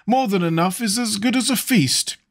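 A man reading aloud and voicing a witty, cynical aristocratic gentleman with a languid manner. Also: high voice, normal speed, pitched above the performer's own voice. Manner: languid, epigrammatic.

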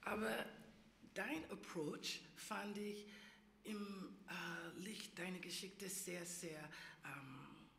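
A woman's voice: lowish voice, extremely slow, vocal fry, a bit bright, slight background noise. American woman speaking German, roomy hall. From United States. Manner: slightly accented, searching for words, mixing in English terms. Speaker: interviewer. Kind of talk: live stage conversation. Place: gallery event space. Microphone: table mic at a distance. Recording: echoey room.

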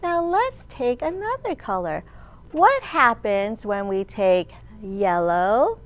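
High-pitched, slow-talking woman reading to children. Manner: animated, sing-song, addressing young children. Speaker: children's librarian hosting storytime. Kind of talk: children's storytime read-aloud and teaching. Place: large open library room, indoors.

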